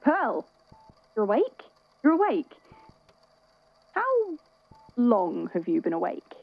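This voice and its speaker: A woman playing a weary, caring friend in an audio drama, talking in a hesitant, conversational, gently wry way. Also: on the high side, huge pitch swings, takes it slowly, gravelly, phone-quality sound, exaggerated voice. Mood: surprised, excited. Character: worried, weary, caring friend at a hospital bedside. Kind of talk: naturalistic audio drama acting.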